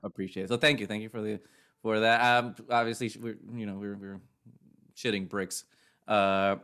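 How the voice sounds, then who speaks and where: A man co-hosting a casual review podcast, talking in halting bursts with many restarts and repeated words. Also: on the low side, level tone, fairly bright tone, clean tone. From Chicago area. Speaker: podcast co-host.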